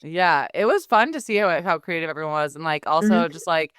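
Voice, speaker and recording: A woman in her thirties with a fairly low voice that sounds very smooth and clean. She chats casually and keeps slipping into quoting her own thoughts. The sound is dry and close. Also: lively intonation, a little fast, fairly bright tone, no room sound. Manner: chatty, acts out her own thoughts in quotes. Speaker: skincare business owner. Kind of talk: casual podcast conversation.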